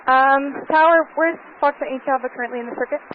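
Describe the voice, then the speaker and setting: A woman's voice: sits high, quick pace. A woman talking in short exchanges over aviation radio. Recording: noisy, tinny, narrow-band aviation radio.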